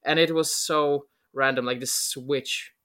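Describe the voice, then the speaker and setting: A man's voice: medium pitch, steady tone, unhurried, bright, forceful tone. A man, talking casually and reflectively as an interview guest, with lots of filler words. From Poland. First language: Polish. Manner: rambling, reflective, many filler likes.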